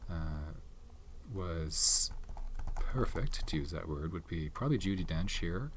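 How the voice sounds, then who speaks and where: A man speaking softly in an ASMR video, chatting casually and rambling with many fillers and restarts. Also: sits low, expressive, takes it slowly, slight background noise. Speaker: ASMR channel host reviewing his film collection. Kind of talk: soft-spoken ASMR chat.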